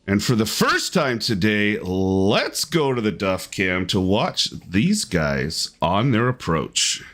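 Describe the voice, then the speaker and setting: Lively man hosting game commentary. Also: on the low side, takes it slowly. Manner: upbeat, lively play-by-play. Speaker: tournament host and commentator.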